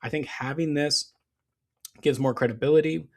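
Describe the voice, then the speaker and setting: A man's voice: fairly high pitch, fairly even intonation, normal speed, a little mellow. Man losing his voice, casual tutorial. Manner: casual, explanatory, frequent fillers and restarts. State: losing his voice, clearing throat. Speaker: SEO business owner. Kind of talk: screen-share tutorial.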